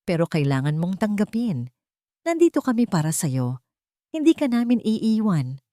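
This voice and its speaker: A woman's voice: mid-range voice, big pitch swings, normal speed, dark tone, no room sound, studio quality, close to an everyday voice. A woman voicing a scheming younger brother who feigns sorrowful concern in a storybook, with a Filipino accent. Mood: feigned sorrow. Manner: falsely soothing, sorrowful.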